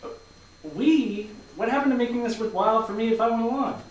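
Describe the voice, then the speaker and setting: A man playing a bemused, hesitant accountant, awkward and halting, in a staged play reading. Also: extremely high pitch. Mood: uneasy. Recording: echoey hall from far off, faint noise.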